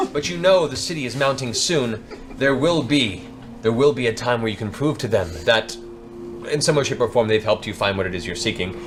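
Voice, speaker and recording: A man narrating a tabletop fantasy game as game master, improvising theatrical descriptions with deliberate pauses. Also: medium pitch. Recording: music bed behind the voice.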